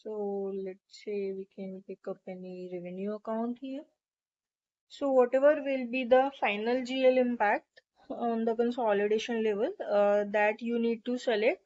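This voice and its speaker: A woman with a fairly high voice talks through an on-screen process step by step. Her delivery is instructional and explanatory, as a tutorial presenter. The recording is slightly dull and lo-fi. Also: steady tone, moderate pace, a little mellow. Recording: dull, lo-fi microphone sound.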